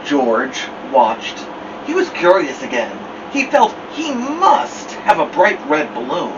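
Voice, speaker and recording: A man reading a children's picture book aloud as a storytime host, theatrically, with drawn-out words and dramatic pauses. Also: fairly high pitch, wildly swooping pitch. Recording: distant mic, dull sound, noisy background hum.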